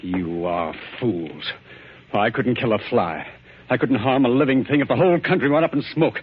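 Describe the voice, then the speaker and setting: An actor playing an earnest, absent-minded scientist in a 1940s radio drama, hesitant and stammering, easily roused to indignation. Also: male voice, mid-range voice, normal speed, noisy background, lightly acted. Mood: shocked, indignant. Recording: dull lo-fi 1940s radio recording.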